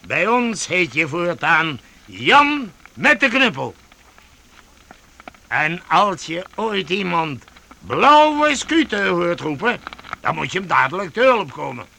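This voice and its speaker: Casting: rough, jovial medieval vagrant chief, very forceful and gravelly, hearty and bossy, radio drama. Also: male voice, high-pitched, sing-song, exaggerated voice. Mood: hearty, commanding. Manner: hearty, bossy, halting with interjections. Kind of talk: Dutch radio drama acting.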